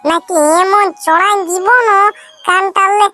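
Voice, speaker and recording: A man's voice: very high-pitched, level tone, measured pace, sharp tone, faint music behind, full character voice. A man voicing an exasperated, blunt village mother in a comedy cartoon, with a Purulia Bengali accent. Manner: scolding, blunt, grumbling. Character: exasperated, scolding village mother, quick to threaten.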